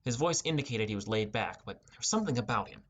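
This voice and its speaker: A man's voice: mid-range voice, expressive, fast, a bit bright. A man narrating a scripted first-person fantasy adventure as an audiobook.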